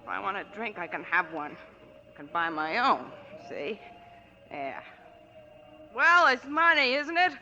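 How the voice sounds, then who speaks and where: An actress playing a fretful, defiant, boozy gangster's moll, needy and wheedling, in a 1940s radio drama. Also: female voice, medium pitch, big pitch swings, measured pace, long pauses, sharp tone, exaggerated voice. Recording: dull old 1940s radio recording.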